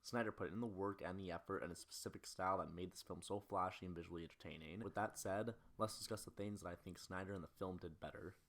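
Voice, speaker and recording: A young man with a very low voice delivers scripted video essay narration at a quick clip. He moves briskly from point to point in the manner of a film critic. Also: steady tone, a bit bright. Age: early 20s.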